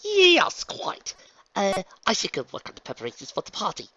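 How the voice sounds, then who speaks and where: A man's voice: fairly high pitch, huge pitch swings, fairly quick, fairly bright tone, highs cut off, slight background noise, pitched above the performer's own voice, full character voice. A man voicing a refined, theatrical female pony shopkeeper with an affected, genteel and dramatic manner. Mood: flustered.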